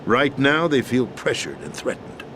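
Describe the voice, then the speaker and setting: An actor playing a commanding yet desperate middle-aged deposed president in a video game, speaking with solemn, deliberate authority. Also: male voice, mid-range voice, very animated, noisy background, natural voice. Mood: grave, urgent. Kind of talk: dramatic video game acting. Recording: loud music over the voice.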